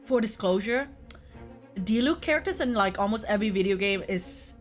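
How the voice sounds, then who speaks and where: A woman talks casually over music, with a medium-pitched voice. She sounds like a co-host working through her choices out loud, weighing each one as she speaks. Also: expressive, moderate pace. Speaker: co-host ranking video game quests. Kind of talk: casual chat.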